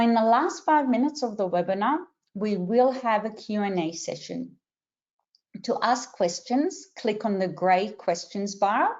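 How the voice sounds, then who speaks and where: A middle-aged woman with a mixed Israeli, Australian and American accent, hosting a webinar and giving clear step-by-step instructions. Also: medium pitch, darkish tone, dull audio. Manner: clear and instructive, with some filler pauses. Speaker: webinar host and career coach. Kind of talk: webinar introduction.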